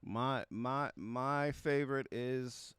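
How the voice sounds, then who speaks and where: A man hosting a music reaction stream, chatting casually in a halting, conversational way and often tagging phrases with a check for agreement. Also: medium pitch, fairly even intonation, a bit bright, clean tone, no room sound. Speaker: music reaction show host. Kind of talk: casual livestream chat with viewers about music.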